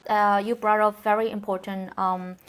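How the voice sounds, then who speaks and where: A woman from North Korea with a Korean accent, a non-native English speaker, hosting an interview in careful, halting English with frequent restarts. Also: medium pitch, little pitch movement, clean tone. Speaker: host. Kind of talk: conversational interview.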